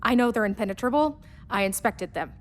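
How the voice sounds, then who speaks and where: A woman's voice: a little high, expressive, brisk, close to an everyday voice. An actress playing a self-mocking, troubled woman spaceship engineer, delivering a confiding, wry monologue in a radio play. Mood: wry, confessional.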